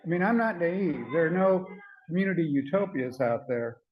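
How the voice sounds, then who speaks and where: Soft-spoken older man giving a talk. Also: a little high, animated, dull audio. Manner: earnest, measured, frequent ums and uhs. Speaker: conference speaker. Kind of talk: prepared talk at a symposium.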